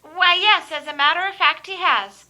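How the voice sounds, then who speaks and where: A polite, eager sanitarium receptionist acted by a woman in a radio play. The voice is high and piercingly bright, with proper, courteous diction. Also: normal speed, exaggerated voice. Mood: polite, helpful. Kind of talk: old time radio acting.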